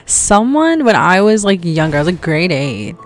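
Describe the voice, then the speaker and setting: A woman from Canada, a streamer, chatting casually, talking fast with lots of "like" and quick laughs. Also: lowish voice, very animated, soft background music. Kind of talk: casual chat.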